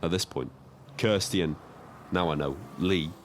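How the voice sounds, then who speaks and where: Lonely British taxi driver, extremely deep voice, audio drama narration, measured, wry, observant. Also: male voice, a little hiss or hum. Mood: wry, reflective. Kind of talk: scripted first-person narration.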